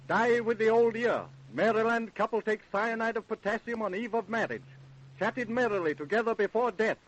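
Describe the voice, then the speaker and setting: A dull, lo-fi vintage radio recording of an actor as a male crime reporter. His voice sits high, and he narrates grave cases with deliberate, dramatic weight. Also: slight background noise, natural voice.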